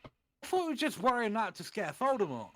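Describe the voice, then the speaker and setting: A man's voice: high-pitched, wildly swooping pitch, fairly quick, fairly bright tone, voice pushed up, exaggerated voice. Man putting on an eccentric, flamboyant old wizard. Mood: indignant. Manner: theatrical, exclamatory.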